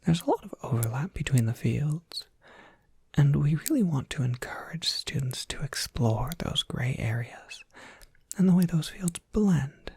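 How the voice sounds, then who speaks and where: An ASMR performer playing a courteous, soft-spoken university professor, whispering in formal, measured phrases with a breathy, husky voice. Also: medium pitch, big pitch swings, exaggerated voice. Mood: explanatory, gentle. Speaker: professor overseeing a college major.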